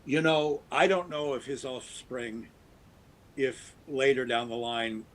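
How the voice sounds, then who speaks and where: Male podcast guest, mid-pitched, enthusiastic and rambling, with lots of fillers and restarts. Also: fairly even intonation, slow pace, rough recording. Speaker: guest actor. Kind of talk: casual podcast chat.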